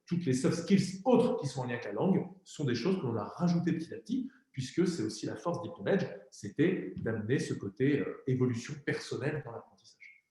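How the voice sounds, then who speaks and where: A man's voice: medium pitch, expressive, a little fast, mellow. A man explaining his learning platform in an informal interview, with some fillers. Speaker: co-founder of a learning platform, guest. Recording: very echoey room.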